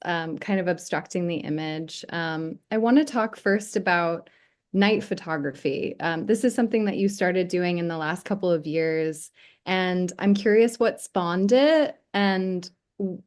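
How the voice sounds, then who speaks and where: A woman moderating an artist conversation, asking thoughtful questions in a conversational, measured way. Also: lowish voice, darkish tone. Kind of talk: conversational interview.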